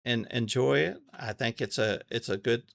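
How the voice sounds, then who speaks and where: A man giving a casual, unscripted tutorial, thinking aloud haltingly and repeating phrases as he goes. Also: medium pitch, creaky, a bit bright, no room sound, clean studio sound. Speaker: amateur radio tutorial host. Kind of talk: casual unscripted screen walkthrough.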